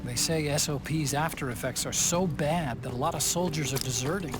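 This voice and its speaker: Man playing an earnest, higher-voiced military comrade. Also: fairly quick, close to an everyday voice. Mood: concerned, serious. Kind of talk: dramatic video game voice acting. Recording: very loud music behind the voice.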